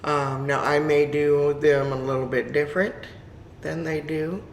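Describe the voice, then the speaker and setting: A woman with a raspy, hoarse voice from a cold, hosting a chatty step-by-step crafting tutorial with frequent ums. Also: low-pitched, fairly even intonation, unhurried, slight background noise.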